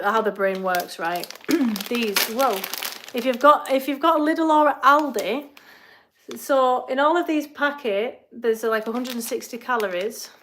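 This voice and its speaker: English lady coach rambling on a live stream in her kitchen, highish voice, bit of hiss behind. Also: a bit bright. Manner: chatty, rambling, asides while cooking. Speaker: fitness coach. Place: home kitchen.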